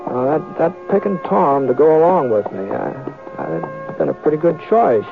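A man's voice: fairly high pitch, very animated, normal speed, gravelly. An actor playing a plainspoken, folksy American cowboy lawman who narrates an old radio western. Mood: wry, reflective. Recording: tinny vintage radio with music.

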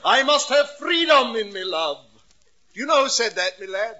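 An actor playing a vain, theatrical ham who declaims in florid, grandiose phrases in a 1940s radio comedy. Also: male voice, extremely high pitch, animated, moderate pace, pushed and bright, heavily acted. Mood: grandly self-satisfied. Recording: dull lo-fi vintage radio recording.

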